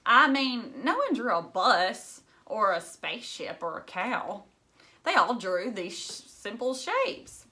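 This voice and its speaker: A woman's voice: medium pitch, big pitch swings, takes it slowly, pushed and bright. A woman reading a children's novel aloud expressively, like a teacher narrating to pupils.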